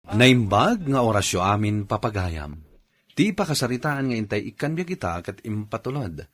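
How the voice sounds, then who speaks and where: A man's voice: lowish voice, sing-song, moderate pace. A man introducing a radio drama in a scripted announcing style.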